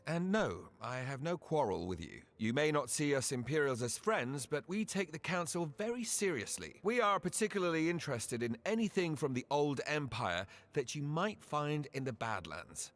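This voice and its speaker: An actor playing a courteous, self-deprecating imperial mage envoy in a fantasy game, polite and wry with some hesitation. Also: male voice, mid-range voice, big pitch swings, moderate pace, few pauses, fairly bright tone. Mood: politely wry. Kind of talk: scripted fantasy game cutscene acting.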